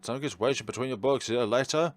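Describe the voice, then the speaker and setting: A man narrating a visual novel playthrough aloud in a dramatic, expressive way, mixing read lines with his own asides. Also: medium pitch, rapid-fire. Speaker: let's player reading a visual novel aloud.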